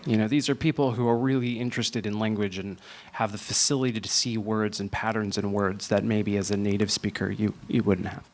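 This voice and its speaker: A man moderating a book festival panel, talking in a relaxed way with fillers. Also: lowish voice, brisk, few pauses.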